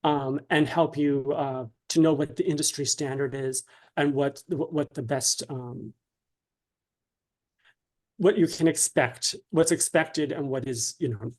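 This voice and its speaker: A man giving informal, instructional answers as an interview guest, explaining things in a hesitant, measured way. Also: mid-range voice, fairly even intonation. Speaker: guest writer being interviewed.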